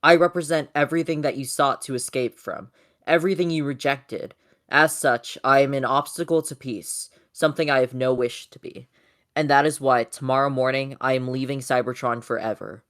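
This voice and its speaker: Solemn male robot leader for a fan comic dub, acted by an amateur reader: mid pitch, measured, oratorical, noble. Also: fairly even intonation, fairly bright tone, lightly acted.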